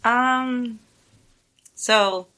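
A woman's voice: on the high side, drawn-out words, sharp tone. A woman on a casual podcast who chats in a giggly, self-deprecating way.

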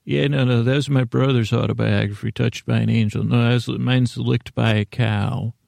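Creaky-voiced man rambling through a bedtime monologue. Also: mid-range voice, fairly even intonation, darkish tone, dry recording. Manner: meandering, halting, self-interrupting with tangents. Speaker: podcast host.

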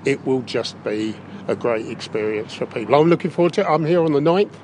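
A man's voice: medium pitch, lively intonation, a little fast, darkish tone. A man giving upbeat, enthusiastic, unscripted interview answers, recorded on location with some background noise. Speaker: interview guest. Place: inside a big top tent in a park.

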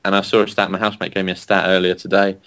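A man's voice: low-pitched, flat delivery, racing, a bit bright. A man hosting a casual football fan radio show, chatty and laughing often. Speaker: host.

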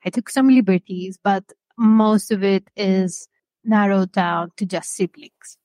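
A woman, young adult, speaks English as an in a podcast interview. She talks in a measured way and stops often to find her words. Her voice sits in an ordinary middle pitch and the recording is close and dry. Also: fairly even intonation, darkish tone, no echo. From Greece. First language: Greek. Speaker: author guest.

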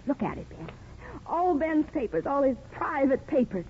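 A woman's voice: sits high, sing-song, normal speed, a little hiss or hum. An actress playing an emotional, lonely small-town wife and mother in a 1950s radio drama. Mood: bitter, angry. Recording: tinny 1950s radio broadcast recording.

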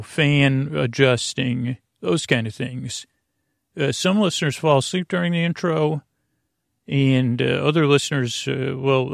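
A man with a gravelly voice hosting a sleep podcast in a meandering, halting monologue, often interrupting himself and drifting off on tangents. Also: mid-range voice, no echo, clean studio sound. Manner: meandering, halting, digressive, trailing off and self-interrupting. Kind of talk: rambling bedtime podcast monologue.

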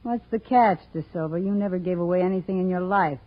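An actress playing a brassy, scheming widow in 1940s radio crime style, sliding between sugary cooing and sneering. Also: female voice, fairly low pitch, quick pace, lightly acted. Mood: curt, suspicious. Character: brassy, scheming gold-digger widow, cooing over her lapdog. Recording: muffled old-time radio recording.

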